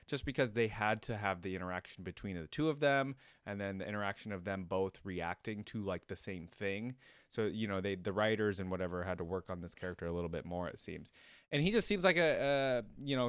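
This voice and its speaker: A man gives casual reaction commentary as the host of a video. His voice sits a little low and sounds dry and close, and he rambles along with open enthusiasm. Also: clean tone, dry recording.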